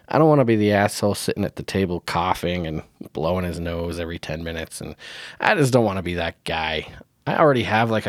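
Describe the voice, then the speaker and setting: A man's voice: fairly low pitch, no room sound, clean studio sound. A man hosting a casual solo podcast, sounding nasal from a cold, blunt and opinionated and laughing often. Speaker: podcast host.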